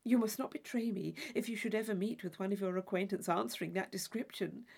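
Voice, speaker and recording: A woman's voice: mid-range voice, brisk, gravelly, darkish tone, husky, pitched below the performer's own voice. A woman voicing an affected, gushing young society lady in an audiobook of a classic novel, with theatrical emphasis. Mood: gushing, coy.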